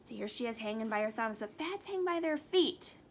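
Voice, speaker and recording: A woman's voice: a little high, lively intonation, fairly quick, a little hiss or hum. A woman reading a children's storybook aloud expressively, with casual asides. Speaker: storybook reader.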